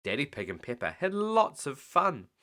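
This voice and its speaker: A man reading a picture book aloud as a bedtime story, slow and expressive. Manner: slow, expressive reading with lively swings of pitch.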